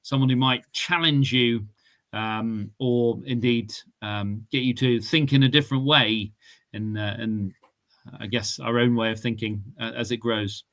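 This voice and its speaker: Bright-voiced man asking a hesitant question. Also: medium pitch, steady tone, measured pace. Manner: hesitant, appreciative, restarts and "um" fillers. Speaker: audience member asking a question. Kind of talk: casual Q&A question.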